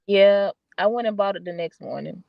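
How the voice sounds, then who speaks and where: A woman with a soft, quiet voice, sounding tired and a little stuffy. She rambles in loose, restarting sentences. Her voice is dry and close, with no room around it. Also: mid-range voice, normal speed, creaky, no room sound, dull audio. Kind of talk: casual late-night chat.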